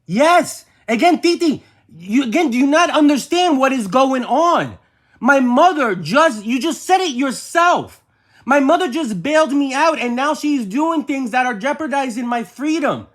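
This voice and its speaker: Phone-argument caller: high pitch, pressing and repetitive, audibly exasperated. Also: expressive, measured pace. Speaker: nephew or niece on a phone call with an aunt. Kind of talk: heated family argument on a phone call.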